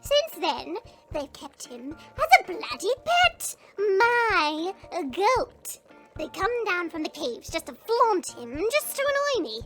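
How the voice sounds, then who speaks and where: A sly little goblin witch in a fantasy audio drama. The voice sits very high and swoops around with theatrical coyness, taking its time over words. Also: sing-song, over loud music, heavily acted. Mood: rueful, grumbling. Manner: theatrical, drawn-out, coy delivery. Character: sly, theatrical female goblin witch, weepy when slighted. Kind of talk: fantasy audio roleplay acting.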